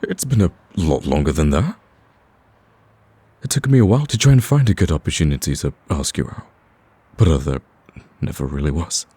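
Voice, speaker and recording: A male actor plays a cocky villain hopelessly smitten, wooing in a romantic audio roleplay. His voice is extremely low, dark and husky. He talks in a smooth, teasing way with an earnest, persistent plea underneath. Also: lively intonation, normal speed, dry recording, exaggerated voice. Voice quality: husky, very deep. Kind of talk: romantic ASMR roleplay acting.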